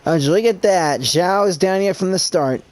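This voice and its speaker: A woman's voice: fairly low pitch, fairly quick, darkish tone. A woman giving casual gameplay commentary and reading out dialogue choices as she plays. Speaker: gamer playing through a story quest.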